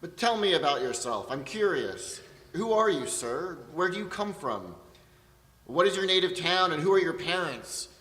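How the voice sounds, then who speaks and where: A man's voice: on the high side, big pitch swings, normal speed, bright, forceful tone. A man giving a dramatic, declamatory storytelling reading of an ancient epic on stage. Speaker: narrator of excerpts from Homer's epic. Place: theatre stage at an arts centre. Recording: echoey hall, distant from mic.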